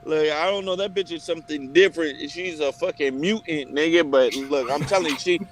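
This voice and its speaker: A man in casual group chat, telling stories in a profane, animated way. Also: a little high, fairly quick, a little hiss or hum. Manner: profane, animated storytelling with frequent laughs. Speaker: participant in an online hangout.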